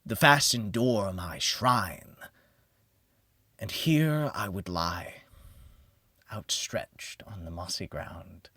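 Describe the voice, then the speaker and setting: Male narrator, gothic horror audiobook, solemn and theatrical, widely swinging intonation, unhurried, deliberate pauses. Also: on the low side, fairly bright tone, dry recording, clean studio sound.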